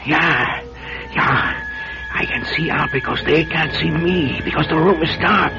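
High-voiced, frightened old recluse. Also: male voice, vocal fry, music in the background. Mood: anxious, fearful. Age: older. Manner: muttering to himself, halting, dramatic exclamations. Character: old frightened, paranoid, secretive male recluse. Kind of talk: old-time radio drama acting. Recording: dull lo-fi radio drama recording.

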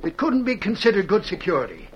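An actor playing an earnest small-town judge and banker, cautious about money, in an old-time radio western. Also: male voice, sits high, huge pitch swings, fast talker, slight background noise. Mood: regretful, firm. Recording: muffled old radio recording.

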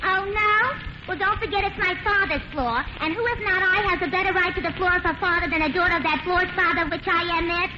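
A brassy, argumentative young lady in a 1940s radio comedy. Her voice is extremely high and indignant. The old recording is noisy and dull. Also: brisk. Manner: indignant, convoluted phrasing.

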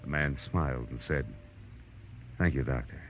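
An actor playing a wry, world-weary private detective in 1940s radio noir style, with a dry, hard-boiled manner. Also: male voice, rumbling low, unhurried, slight background noise. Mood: weary, rueful. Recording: muffled old radio recording, faint music.

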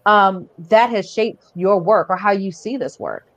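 A woman's voice: medium pitch, unhurried. Woman podcast host, American accent, middle register, informal conversational interviewer. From born in Barbados, raised in the United States.

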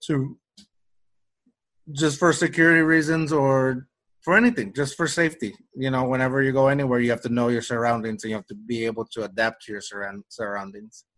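A young man with a light Mexican Spanish accent, his voice sitting at a middling pitch. He talks loosely in a casual podcast chat, circling back, restarting sentences and leaning on fillers. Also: steady tone, fairly quick, a bit bright. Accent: slight Mexican Spanish. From Mexico-US border region. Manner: rambling, frequent restarts and fillers. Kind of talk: casual conversation.

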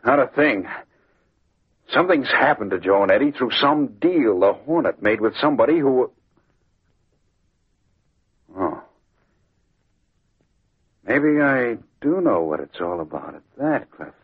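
An actor playing a shifty, scheming racketeer in an old-time radio crime drama, with a guarded, hard-boiled delivery. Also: male voice, mid-range voice, expressive, a little slow, pauses often, breathy edge. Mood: evasive. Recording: tinny, band-limited vintage radio recording.

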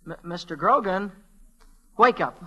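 Earnest American messenger boy, teens. Lightish pitch, stammering, sincere, classic radio drama delivery. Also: animated, unhurried, fairly bright tone, breathy edge, muffled recording, exaggerated voice. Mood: alarmed. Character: earnest, naive teenage telegram messenger boy. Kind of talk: old-time radio drama acting.

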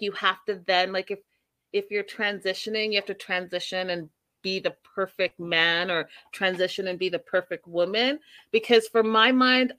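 A woman's voice: medium pitch, sharp tone, no room sound. A woman hosting a casual live discussion, thinking aloud with many fillers and restarts. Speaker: host.

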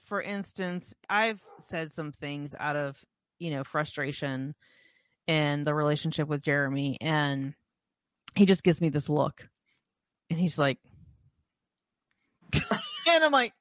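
A woman co-hosting a podcast, calmly explaining and affirming her partner with short interjections. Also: low-pitched, takes it slowly, pauses often, no echo, studio quality. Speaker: podcast co-host. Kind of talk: candid conversational podcast talk.

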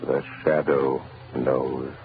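An actor playing a mysterious, commanding invisible crime-fighter in a menacing, deliberate 1930s radio drama style. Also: male voice, a bass voice, little pitch movement, exaggerated voice. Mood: urgent, commanding. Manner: slow, deliberate, menacing delivery. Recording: muffled, noisy vintage radio recording.

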